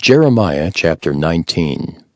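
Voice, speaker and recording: A man's voice: low voice, sing-song, measured pace. A man narrating dramatized scripture as a formal storyteller, reading from a script.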